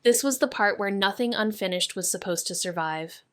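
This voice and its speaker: A woman playing a wry, watchful young sleuth who narrates a noir mystery in a dry, clipped, deadpan way. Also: mid-range voice, moderate pace, no room sound, natural voice. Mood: somber, watchful. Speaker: narrator and lead character.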